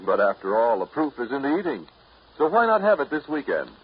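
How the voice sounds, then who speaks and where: A man's voice: mid-range voice, big pitch swings, brisk, husky. A man announcing on an old radio show in the polished sales style of the 1930s. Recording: muffled, noisy 1930s radio broadcast.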